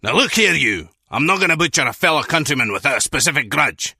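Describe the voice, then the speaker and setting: A callous Scottish mercenary boss in a video game. His delivery is gruff and very forceful, with a lively, swooping melody. The Scottish accent carries a cocky swagger, recorded close and dry. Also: fairly high pitch, fast talker, dry recording, full character voice. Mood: callous, commanding.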